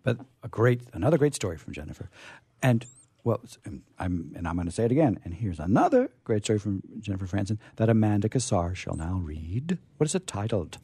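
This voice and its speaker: A man hosting a radio show, making effusive, halting, repetitive introductions and comments off the cuff. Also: on the low side, very animated, warm tone, husky, no echo.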